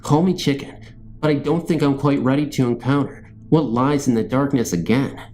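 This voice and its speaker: A man narrates eerie true-life tales in a measured, storytelling way. His voice is soft-edged and dark in tone, set at a middling pitch, with faint music underneath. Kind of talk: scripted narration of scary first-person stories. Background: background music.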